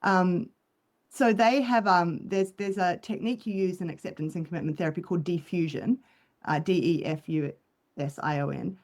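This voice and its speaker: Kiwi-accented woman, low-pitched thoughtful talk. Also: moderate pace, a little mellow. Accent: New Zealand English with Australian overlay. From New Zealand. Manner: thoughtful, many ums and restarts. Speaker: clinical psychologist guest. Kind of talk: casual podcast conversation.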